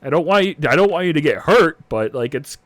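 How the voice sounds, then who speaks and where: A man's voice: on the high side, expressive, brisk, a bit bright, no echo. A man playing a nervous, unsure new militia captain in a tabletop game, stammering and hesitant. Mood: flustered, apologetic. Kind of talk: improvised in-character roleplay.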